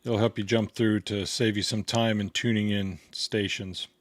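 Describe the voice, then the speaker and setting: Low-voiced man giving a hands-on demo. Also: flat delivery, normal speed, no echo. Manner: plain, step-by-step instructional. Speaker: product reviewer demonstrating a gadget. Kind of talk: casual hands-on tutorial.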